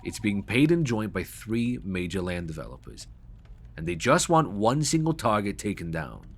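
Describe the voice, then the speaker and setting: A man playing a pragmatic, pushy mercenary company boss in an audio drama, with a husky voice and a brusque, businesslike manner. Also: fairly low pitch, sing-song, normal speed, heavily acted. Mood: wry, uneasy. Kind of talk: cinematic audio roleplay acting.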